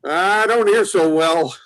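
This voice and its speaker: A man playing a gruff, hard-of-hearing inventor in a play reading, folksy and cagey, with rambling, halting, swearing speech. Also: sits high, a bit bright. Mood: indignant, evasive. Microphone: video call.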